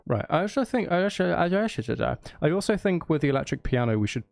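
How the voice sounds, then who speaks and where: Man, casual livestream chatter, playful, thinking aloud, gravelly fry, music in background. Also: a little high, big pitch swings, fast talker. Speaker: streamer. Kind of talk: casual livestream chat while making music. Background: electronic music being composed.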